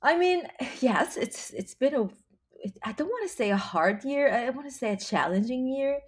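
A woman from Israel, a singer chatting as a guest in an interview. She is animated, laughs often and restarts her sentences. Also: sits high, normal speed, husky. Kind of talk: casual interview chat.

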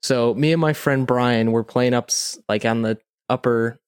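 A man's voice: medium pitch, normal speed, clear, resonant tone, dry recording, clean studio sound. A man co-hosting a podcast, telling a story in casual chat, rambling informally with plenty of "like" and "you know". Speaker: podcast co-host.

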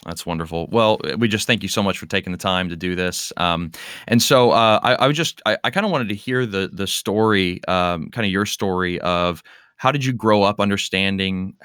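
A man's voice: sits low, normal speed, smooth, no echo, studio quality. A young man hosting a podcast interview, asking rambling, enthusiastic questions. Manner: warm-hearted, rambling questions with fillers. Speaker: podcast host.

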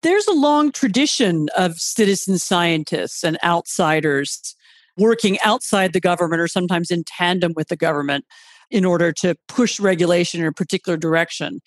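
A man's voice: high-pitched, normal speed, no room sound. A man interviewing a guest, asking long, thoughtful questions with restarts and repeated words.

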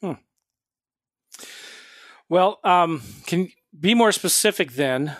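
A man's voice: sits high, animated, slow. A man hosting an interview, asking short questions and prompting his guest for more detail. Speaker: host.

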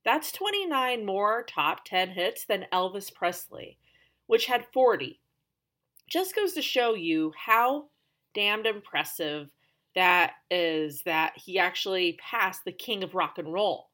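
A woman's voice: mid-range voice, lively intonation, slow, a bit bright. A woman hosting a podcast, telling a story in a conversational scripted narration with emphatic, dramatic phrasing and chatty asides. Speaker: podcast host.

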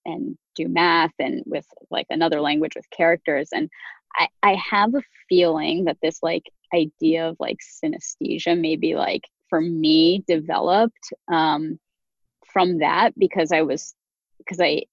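A woman's voice: deep voice, fairly even intonation, a little slow, no echo. A woman CEO, a guest on a podcast, talking casually and chattily with lots of "like" fillers. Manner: chatty, many "like" fillers, laughs easily. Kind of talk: casual conversational interview. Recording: dry, dull lo-fi sound.

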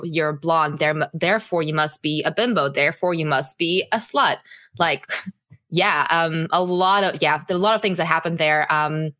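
A podcast host in her thirties, a woman from Singapore with a slightly American accent. She talks in a chatty, animated way and laughs often. Her voice sits a little low but sounds bright, and the recording is dry. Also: dry recording. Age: 30s. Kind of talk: casual interview chat.

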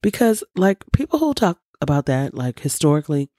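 Deep-voiced woman in her forties, emphatic. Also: a little fast, dry recording, studio quality. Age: 40s. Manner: emphatic and animated, swears freely, preachy asides. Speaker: podcast host. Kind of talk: casual opinionated monologue.

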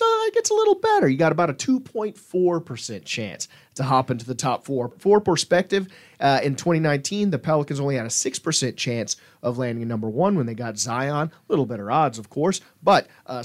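A man hosting a sports podcast in a studio, talking conversationally and somewhat haltingly with enthusiastic emphasis. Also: mid-range voice, big pitch swings. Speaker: podcast host. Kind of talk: lively sports podcast discussion.

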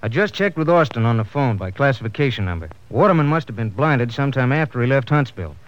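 Male actor as earnest American police lab man, medium pitch, brisk emphatic delivery, dull old radio drama audio. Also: lively intonation, close to an everyday voice. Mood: urgent, certain. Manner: brisk, emphatic, informal reporting. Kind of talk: 1950s western radio drama acting. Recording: muffled vintage 1950s radio broadcast recording.

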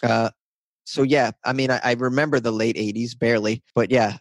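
A man's voice: medium pitch, steady tone, normal speed, no echo. A man in his thirties from north of Boston, chatting as an interview guest in a rambling, self-deprecating way. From north of Boston, USA. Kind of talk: casual interview chat.

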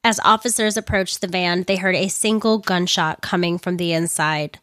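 A woman, somewhat hoarse from losing her voice, narrating a crime story with earnest personal commentary. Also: on the low side, fairly even intonation, normal speed, fairly bright tone, dry recording, clean studio sound.

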